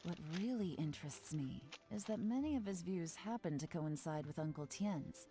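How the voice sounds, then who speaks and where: An actress playing a composed woman investigator in a game, speaking in a cool, assessing way over soft music. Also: on the low side, lively intonation, moderate pace, close to an everyday voice.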